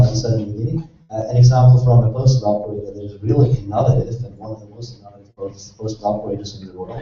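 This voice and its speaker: A man's voice: medium pitch, warm tone. A man chairing a conference session introduces the next speaker, earnest and hesitant with many fillers. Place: large conference hall. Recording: echoey hall, distant muffled mic, faint noise.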